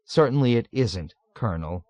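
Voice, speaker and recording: A man voicing a meek but sly young mess officer who speaks softly and quietly insists. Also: medium pitch, animated, moderate pace, no room sound, close to an everyday voice. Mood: demure. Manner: demure, soft, quietly insistent.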